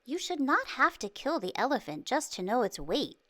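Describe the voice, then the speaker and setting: A woman voicing an excited, earnest, clever small boy in a storybook read-aloud. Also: sits high, moderate pace, no echo, pitched above the performer's own voice, heavily acted. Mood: serious. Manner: earnest, eager.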